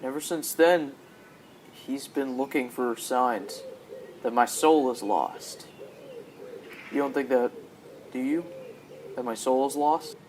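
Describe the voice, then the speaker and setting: A man's voice: medium pitch, slow pace, long pauses, a little mellow, slight background noise, natural voice, poor audio. A teenage boy playing a troubled, pleading company boss and devoted husband in a radio drama. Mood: pleading, anguished. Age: teens, high school student.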